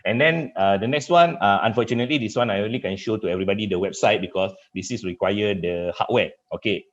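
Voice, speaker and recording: A man with a Malaysian accent, a native Malay speaker, working as a trainer and giving a halting live software demonstration in a webinar, often repeating filler phrases. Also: fairly low pitch. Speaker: cybersecurity trainer. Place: online webinar with screen share. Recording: dull, muffled webinar audio.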